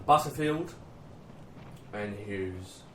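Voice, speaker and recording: An actor playing a dutiful, war-weary army sergeant in a radio play, speaking gravely in measured short phrases. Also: male voice, lowish voice, animated, a little hiss or hum, full character voice. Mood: subdued, dutiful. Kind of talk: radio play acting, dramatic monologue. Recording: roomy, distant mic, loud music.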